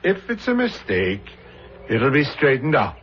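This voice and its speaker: An actor playing a firm, unyielding patrol officer, formal and commanding, in a 1970s radio drama. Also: male voice, medium pitch, wildly swooping pitch, unhurried. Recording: dull old radio recording with faint noise.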